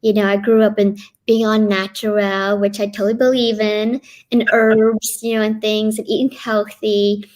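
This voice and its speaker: A woman's voice: medium pitch, little pitch movement, moderate pace, hardly any pauses, a little mellow, low-quality recording. A middle-aged woman from Kentucky, a guest on a live online interview, eagerly explaining her work. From Kentucky, USA. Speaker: guest, cosmetic physician assistant.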